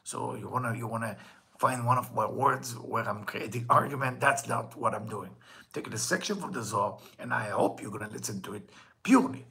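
A man's voice: mid-range voice, a little fast, gravelly, sharp tone, a little hiss or hum. A man with a Hebrew accent, a native Hebrew speaker, giving an earnest weekly Torah lesson as a Kabbalah teacher, asking rhetorical questions and quoting texts in Hebrew. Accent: Israeli Hebrew.

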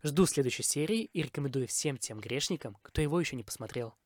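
A man's voice: medium pitch, animated, fast, a little mellow, no room sound. A man from Kazakhstan hosting a podcast, delivering a scripted review monologue. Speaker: podcast host.

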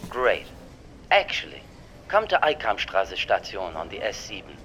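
A man's voice: fairly low pitch, huge pitch swings, moderate pace, sharp tone, a little hiss or hum, full character voice. An actor playing a cautious, secretive middle-aged man in a radio drama, speaking guardedly.

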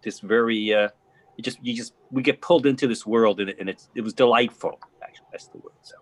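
A man giving casual feedback at a play reading, haltingly searching for his words. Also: medium pitch, no echo. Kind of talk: casual critique.

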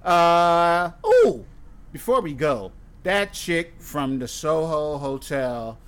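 A man's voice: a little high, lively intonation, slow. Male podcast host, casual and profane, rambles through stories. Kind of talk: casual chat.

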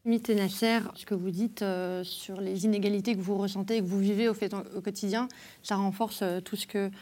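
Woman, panel moderator, flat delivery, mellow tone, calm and measured. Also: mid-range voice. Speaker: host.

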